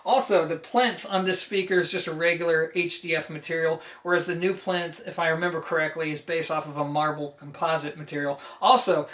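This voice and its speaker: A man's voice: a little high, steady tone, fairly quick, hardly any pauses, a bit bright. A man with a New Jersey accent giving a conversational, enthusiastic and emphatic product review straight to his viewers. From New Jersey, United States. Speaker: audio equipment reviewer and host.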